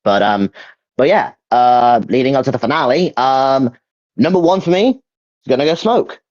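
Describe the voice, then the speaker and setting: A dull, lo-fi recording of a young man giving casual gaming opinions. His pitch moves around a lot and is very expressive. He often stumbles and restarts as he hunts for the right words. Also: moderate pace. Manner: hesitant, searching for words, repeats himself. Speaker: video game ranking host. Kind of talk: casual opinion chat about video games. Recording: dull, lo-fi mic.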